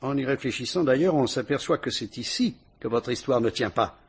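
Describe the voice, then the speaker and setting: An actor playing a shrewd police inspector who questions a suspect with dry irony, in a vintage radio drama. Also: male voice, medium pitch, fairly quick, slightly rough. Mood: probing, ironic. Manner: sly, probing, mocking interrogation.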